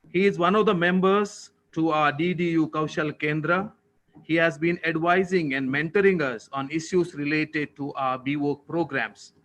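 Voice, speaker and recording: A man with an Indian accent giving a formal, prepared thank-you speech as a college official, with deliberate, ceremonious and courteous phrasing. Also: a little high, fairly bright tone, poor audio.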